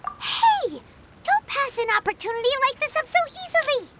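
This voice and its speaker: A woman voicing a tiny, excitable female fairy companion who talks in a shrill, bouncy, pushy way in a game cutscene. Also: normal speed, background noise. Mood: indignant. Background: game music.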